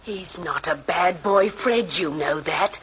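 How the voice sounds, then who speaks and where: An actress playing an anxious middle-aged mother who gently defends her son in a 1950s radio drama. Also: fairly low pitch, slow pace, dull audio. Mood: pleading. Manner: gentle, pleading, placating.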